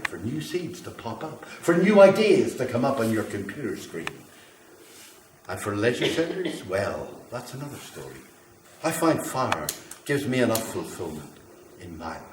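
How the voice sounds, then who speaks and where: A man's voice: medium pitch, very animated, moderate pace, close to an everyday voice. Echoey, theatrical man playing a volatile fire-obsessed man. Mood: boastful, musing. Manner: theatrical, rambling, swinging from grandiose outbursts to muttered apologies. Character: volatile, boastful man who believes he is fire, lonely. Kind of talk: theatrical dramatic monologue. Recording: echoey room, distant mic, faint noise.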